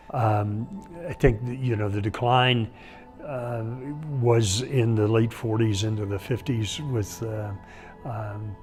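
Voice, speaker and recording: Documentary commentator, man, lower pitch, restrained melody, reflective delivery with faint music underneath. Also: a little mellow. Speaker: interviewee on radio history.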